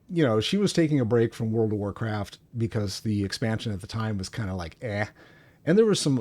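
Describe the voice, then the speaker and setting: A man's voice: lowish voice, a little mellow. An older man chatting casually about gaming as he hosts a stream. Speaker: game streamer, host.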